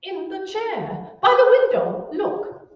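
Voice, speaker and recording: A woman playing an imperious, shrewish wife in an old-time radio horror play, with a shrill, scornful, commanding manner. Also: animated, takes it slowly, full character voice. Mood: indignant, alarmed. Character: imperious, shrewish wealthy wife, bossy, panicked by a ghost. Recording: muffled, very echoey, distant stage recording.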